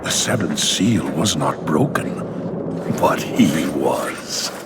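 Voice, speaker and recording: A man's voice: extremely low pitch, lively intonation, heavy background noise, heavily acted. An actor playing an ancient, imperious king of the dead in a video game, haughty, bitter and menacing. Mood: grim, menacing. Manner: slow, haughty, commanding. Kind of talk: dramatic video game acting. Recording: loud background music.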